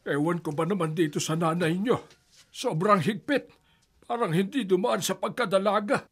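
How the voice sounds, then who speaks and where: A man's voice: high-pitched, normal speed, a bit bright, husky, heavily acted. An actor playing an easygoing older husband and grandfather who jokes about his wife, in a radio drama. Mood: teasing. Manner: joking, easygoing. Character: teasing old husband and grandfather.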